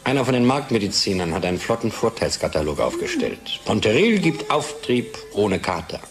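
Animated, exasperated, troubled husband. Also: male voice, on the low side, normal speed, over music. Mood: bitter. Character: troubled, nagging husband worn down by office politics. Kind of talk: German radio play acting.

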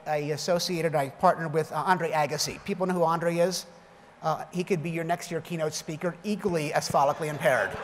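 A man in his fifties giving a conference keynote from a lectern, telling punchy, self-deprecating stories. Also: sits high. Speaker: keynote speaker. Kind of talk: conference keynote with jokes and anecdotes. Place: large conference hall stage at a lectern. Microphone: lectern microphone. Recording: long hall reverb, faint background noise.

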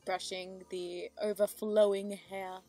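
A woman's voice: mid-range voice, level tone, slow, warm tone, soft background music. A woman giving casual, gushing, giggly reaction commentary.

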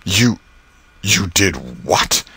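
A man voicing a stern, pompous old-fashioned schoolmaster in an audiobook, speaking formally and deliberately. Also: sits low, expressive, pushed and bright, slightly rough, dry recording, exaggerated voice. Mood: stern, indignant.

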